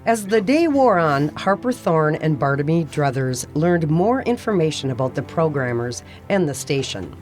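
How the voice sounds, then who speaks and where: A woman playing the composed narrator of an audio theater mystery, telling the story in a measured, scripted way. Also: deep voice, sing-song, a little mellow, faint music behind.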